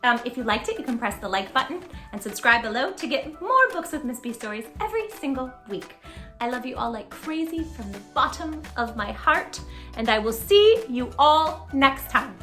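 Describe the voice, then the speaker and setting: A woman with a bright, very lively voice hosts a children's storytime in a roomy space with music behind her. She takes her time, stressing words dramatically and checking in with her young listeners. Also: high-pitched, moderate pace. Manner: animated, asks listeners questions, dramatic emphasis and hushed asides. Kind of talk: expressive picture-book read-aloud for young children.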